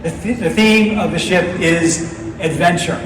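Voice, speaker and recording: A man's voice: high-pitched, a little slow, far from the mic. A man giving an upbeat, projected keynote presentation on stage as a company executive, addressing a live audience. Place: large darkened convention auditorium, on stage. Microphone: stage PA heard from far back in audience. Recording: audience phone recording, echoey hall, crowd noise.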